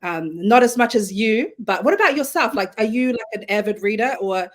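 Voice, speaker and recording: A woman guest on a podcast, talking in a relaxed, rambling way and often circling back mid-sentence. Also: on the high side, fairly quick. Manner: relaxed, rambling, lots of fillers and restarts. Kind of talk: casual chat.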